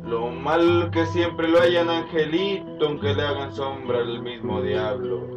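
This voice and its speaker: An Argentine man recites a country tale in a fairly high voice, with theatrical, deliberate phrasing. Guitar music plays clearly behind him, and the recording is somewhat dull. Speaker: storyteller reciting a narrative. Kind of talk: dramatic spoken-word recitation of a rural tale over music. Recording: dull recording, distant, under loud music. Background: plucked guitar music.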